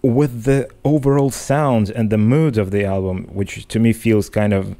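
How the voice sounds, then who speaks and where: A man with a mellow, somewhat low voice who speaks English as a second language. He chats on a podcast in a thoughtful, halting way and often restarts his sentences. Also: steady tone. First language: not English. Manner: thoughtful, hesitant, many restarts. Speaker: podcast co-host. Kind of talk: casual analytic chat.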